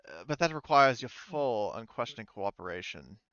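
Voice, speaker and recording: A man voicing a cunning female corporate executive in a tabletop game, measured and businesslike. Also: mid-range voice, lively intonation, a bit bright, smooth, dry recording, dull audio, lightly acted.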